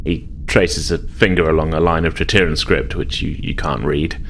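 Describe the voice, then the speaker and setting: Low-voiced man running a tabletop game. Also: fairly even intonation, normal speed, vocal fry, fairly bright tone. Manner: rambling, self-correcting. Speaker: game master. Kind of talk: improvised tabletop game narration, casual. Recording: faint background noise.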